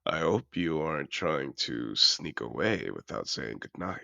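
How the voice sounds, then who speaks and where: A man's voice: a bass voice, expressive, measured pace, bright, forceful tone, no room sound, lo-fi sound, exaggerated voice. A man voicing a suave, seductive dragon disguised as a wealthy aristocrat in a fantasy audio roleplay, speaking in a courtly, formal way. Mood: grand, gracious. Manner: courtly, formal, smooth-talking.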